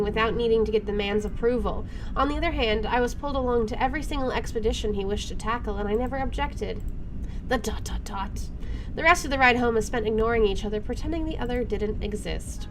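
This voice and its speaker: A woman hosting a let's play, reading game narration aloud and adding casual, expressive commentary. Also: fairly high pitch. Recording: clearly audible background noise.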